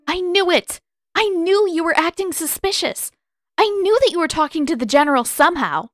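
Storybook role: woman playing a defiant, indignant teenage girl, raised high pitch, sharp accusing delivery. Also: lively intonation, moderate pace, no room sound.

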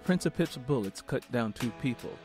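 A man hosting a book interview podcast, reading a historical passage aloud in a measured, steady storytelling manner. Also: mid-range voice, music in the background.

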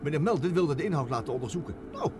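Actor as an eager professor inventor, animated, a bit high, stammers. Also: male voice, very fast, lightly acted. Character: excitable middle-aged male professor inventor. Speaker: professor Ben Curtis. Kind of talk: 1960s radio drama acting. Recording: old radio play tape with hiss.

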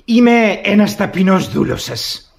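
A man's voice: high-pitched, lively intonation, gravelly. An actor playing a pompous older aristocratic father-in-law, imperious and formal, in a classic comedy for radio theatre. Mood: commanding.

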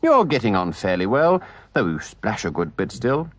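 A man's voice: fairly low pitch, sing-song, no echo. Man voicing a dry, stubborn storybook river rat. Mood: innocently teasing. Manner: measured, dogged, drily understated. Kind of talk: storybook character voice.

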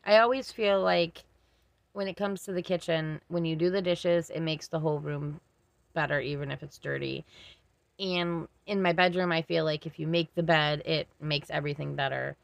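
A woman's voice: sits low, steady tone, normal speed, creaky, dry recording. A young woman chatting casually to her viewers as a home vlogger. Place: small rooms of a mobile home, indoors.

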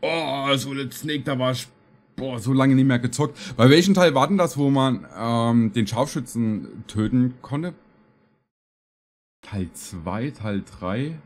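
A man's voice: medium pitch, slow. A man in his thirties giving casual, rambling, slangy reaction commentary with stammered repetitions. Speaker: reaction video host. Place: small room at home, streaming setup.

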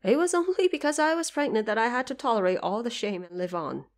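A woman's voice: on the high side, animated, quick pace. Woman acting a grieving, ashamed noblewoman. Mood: anguished shame. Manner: formal, sorrowful. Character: grieving, ashamed noblewoman, devoted mother and daughter.

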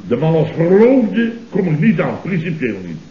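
An actor playing a blustering, self-righteous conservative boss in a Dutch radio comedy, speaking in repetitive, exclamatory bursts with a Dutch accent. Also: male voice, a little high, very animated, normal speed. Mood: indignant. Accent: Dutch, from the Netherlands. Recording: dull, noisy, roomy old radio recording.

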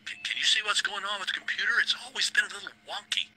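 A man's voice: high voice, fairly even intonation, fast, sharp tone, breathy edge, faint music behind, exaggerated voice, rough recording. An actor playing a jumpy, bossy, impatient radio station man in a game radio drama, urgent and commanding. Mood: curious.

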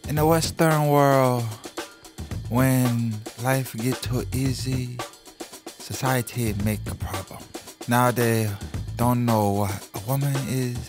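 Man acting foreign sage, radio guest, broken English, very slow delivery, blunt, mid-pitched. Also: close to an everyday voice. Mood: pondering. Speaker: recurring radio show guest. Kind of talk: comedic radio interview.

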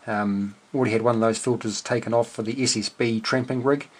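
A man's voice: mid-range voice, fairly even intonation, brisk. Middle-aged New man thinking aloud. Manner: hesitant, thinking aloud, understated. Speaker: amateur radio hobbyist and video host. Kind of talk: casual unscripted workbench explanation. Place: small indoor room at a cluttered desk workbench.

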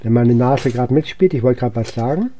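Very dark-voiced man casually narrating gameplay. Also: mid-range voice, lively intonation, moderate pace, slight background noise. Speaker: gaming video host. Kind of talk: casual let's-play commentary.

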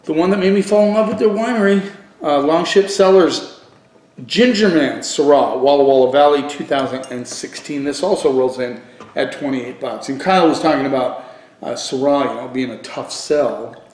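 A middle-aged man giving a casual wine review on video, thinking aloud haltingly and repeating words for emphasis. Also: mid-range voice, darkish tone. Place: living room at home, indoors. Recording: echoey room.

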